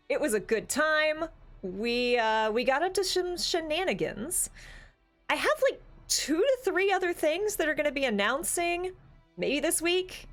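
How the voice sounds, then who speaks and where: A woman's voice: high voice, a bit bright. A woman hosting a tabletop gaming stream in casual, chatty style, often breaking off and picking her thought back up. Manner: chatty, informal, self-interrupting. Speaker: host of a tabletop role-playing talk stream. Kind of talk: casual livestream chat.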